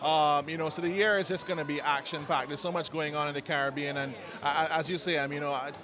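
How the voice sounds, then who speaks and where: A man's voice: a little high, fairly even intonation, fast talker. Barbadian-accented man amid a crowd. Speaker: interviewee, media conference producer. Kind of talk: casual interview at a launch event. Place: outdoors at a busy event. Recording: crowd chatter behind the voice.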